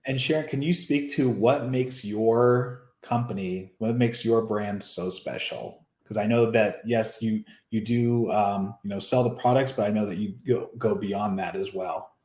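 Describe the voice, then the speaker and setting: A man's voice: lowish voice, a little slow, few pauses, darkish tone, reverberant. A man co-hosting an interview, chiming in and asking the guest questions. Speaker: podcast co-host. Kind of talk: casual interview chat.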